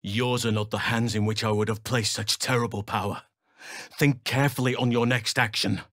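An actor playing a gentle, solemn druid leader in a video game, speaking in a measured, formal, earnest manner. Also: male voice, medium pitch, steady tone, dry recording, studio quality, close to an everyday voice. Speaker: video game companion character. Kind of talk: video game voice acting, formal fantasy dialogue.

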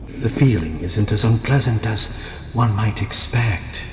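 A man's voice: lowish voice, slow. A man playing a suave, ominous vampire in a video game, silky and patronising. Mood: suave, assured. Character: suave, ominous male vampire promising eternity. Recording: echoey hall, noisy background.

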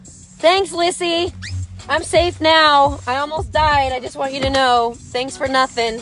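A woman's voice: high-pitched, fairly even intonation, unhurried, a bit bright, faint music behind. A woman in her thirties vlogging from inside a truck, flustered and laughing in exasperation, recorded on a phone held at arm's length. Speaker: mother vlogging. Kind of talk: casual selfie vlog. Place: inside a parked truck, under an open umbrella.

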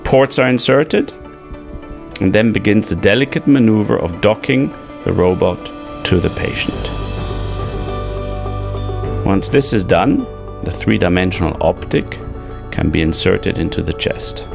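A middle-aged man giving a measured, scripted medical explanation as a surgeon and narrator. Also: deep voice, creaky, music in the background.

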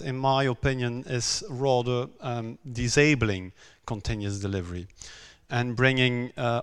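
A man from Belgium, for whom English is not his first language, giving a conference talk on a stage with many pauses, "um"s and repeated words. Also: medium pitch, clean tone. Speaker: conference speaker.

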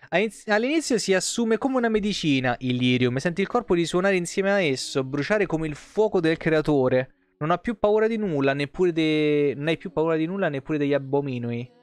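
Higher-pitched Roman man streaming game lore. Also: clear, resonant tone, dry recording. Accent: Roman Italian. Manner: expressive, informal, swearing in asides. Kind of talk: reading game lore aloud with casual live commentary. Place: indoor room, home streaming setup. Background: background music with guitar.

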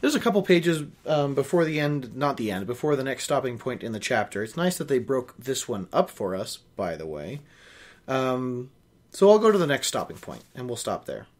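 A young man hosts a reading of a novel with an animated, widely moving pitch. His voice sits at a middling height, and his commentary is casual and a little wry. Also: moderate pace. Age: young adult. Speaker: host of a book reading show. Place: small room at home.

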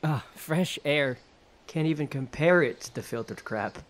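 A man's voice: a little high, a little slow, lightly acted. A man playing an aloof, dismissive rebel in a sci-fi audio drama, blunt and nonchalant. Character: aloof, dismissive rebel comrade named Mark.

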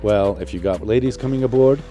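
A man narrating his sailing vlog in a calm, reflective voice-over. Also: on the low side, a little fast, faint music behind.

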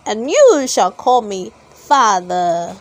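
A woman playing a kindly, fatherly old male toy maker in a storybook, speaking in deliberate, drawn-out exclamations. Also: mid-range voice, big pitch swings, pitched below the performer's own voice. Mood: fond.

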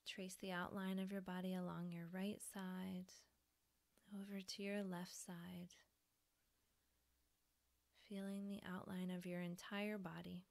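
A woman softly guiding a yoga relaxation meditation in a breathy, soothing manner with pauses. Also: on the low side, little pitch movement. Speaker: yoga nidra teacher.

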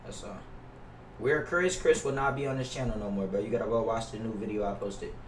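A man's voice: on the low side, brisk, a little hiss or hum. Young American man streaming, roomy distant mic. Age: young adult. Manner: slangy, profane, repeating himself. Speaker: game streamer. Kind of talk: casual livestream chat with viewers. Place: indoor room at a gaming setup. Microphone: gaming headset mic.

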